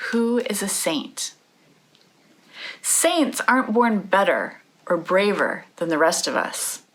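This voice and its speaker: A woman reading her own book aloud as a children's read-aloud, in deliberate, measured phrases. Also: on the low side, fairly bright tone, a little hiss or hum, rough recording.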